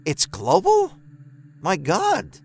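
A man voicing an exasperated, scornful wife who scolds in a sharp, incredulous manner. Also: sits high, wildly swooping pitch, drawn-out words, gravelly, pitched above the performer's own voice, exaggerated voice. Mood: alarmed.

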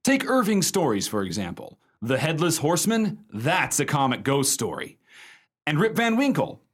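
A man's voice: on the high side, huge pitch swings, normal speed, breathy edge, heavily acted. An actor playing a jovial, wry American man spinning tales for friends in a radio play, playfully theatrical. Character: wry, jovial American male raconteur telling friends a ghost story.

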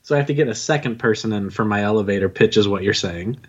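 A man's voice: on the low side, fast talker, husky. A man chatting casually as an interview guest, wry and self-deprecating, on a dull remote connection. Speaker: interview guest, fiction podcast writer.

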